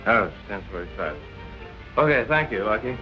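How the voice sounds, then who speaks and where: Bright-voiced, swooping tipsy barfly. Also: male voice, fairly low pitch, expressive, normal speed, poor audio. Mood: tipsy, cheerful. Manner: tipsy, rambling, repetitive. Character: drunken, amiable, stubborn nightclub regular. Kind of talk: 1940s film acting. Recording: vintage 1940s film soundtrack, faint music.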